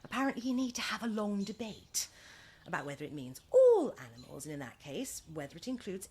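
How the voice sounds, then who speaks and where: A woman's voice: mid-range voice, huge pitch swings, a little fast, mellow. An actress in a radio comedy play as a gushing, evasive, put-upon British woman running a dating agency, with theatrical, affected politeness. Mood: exasperated.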